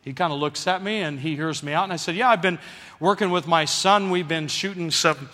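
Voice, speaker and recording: A man's voice: on the high side, brisk, big-room sound. A man preaching a sermon, telling a story and calling on the congregation to answer him. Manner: animated, calls for congregation response.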